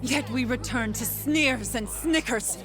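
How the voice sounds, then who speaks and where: A woman's voice: fairly high pitch, unhurried, lightly acted. An actress playing a proud, dignified woman warrior in a video game, with formal delivery. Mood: indignant, defiant. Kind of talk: dramatic video game acting. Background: game music.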